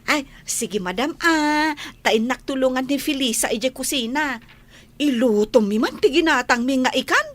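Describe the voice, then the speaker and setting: A woman's voice: high-pitched, animated, a bit bright. A woman playing a cheeky young housemaid in an audio drama, teasing and talking back with an Ilocano Filipino accent. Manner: playful, drawn-out, talking back.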